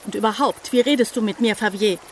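An actress playing a sharp-tongued, haughty and bustling daughter in a radio drama. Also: female voice, medium pitch, fast talker, slight background noise, lightly acted. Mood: indignant, urgent.

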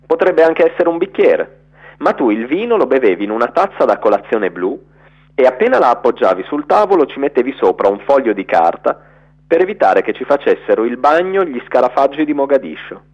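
A man giving a theatrical, storytelling reading of a literary letter for radio, in an expressive narrator's delivery. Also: mid-range voice, brisk, breathy edge, lo-fi sound.

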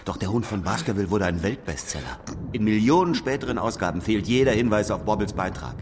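Man doing sly sardonic showman in a radio play, lowish voice, really animated, needling. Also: a little hiss or hum, lightly acted. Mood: accusing, sardonic. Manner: needling, theatrical, dramatic pauses. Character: shrewd, sardonic middle-aged escape artist, needling skeptic. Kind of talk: German radio drama acting.

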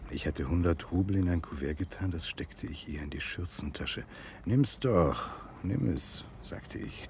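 An actor playing an aristocratic Russian landowner, brooding and guilt-ridden, in a German radio drama. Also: male voice, a bass voice, vocal fry, noisy background, exaggerated voice.

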